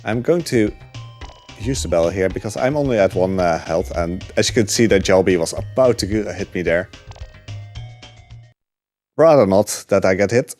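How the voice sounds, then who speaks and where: A man with a fairly low voice explains his speedrun as he plays. He talks in an easygoing, chatty way, with frequent "uh"s between thoughts. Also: normal speed, pauses often, faint music behind. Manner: relaxed, chatty, many fillers. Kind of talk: live gameplay commentary.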